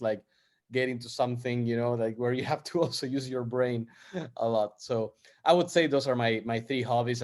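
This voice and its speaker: A man's voice: medium pitch, darkish tone. A man from Argentina, speaking as an interview guest in a casual, hesitant way with many fillers and restarts. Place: based in the U.S.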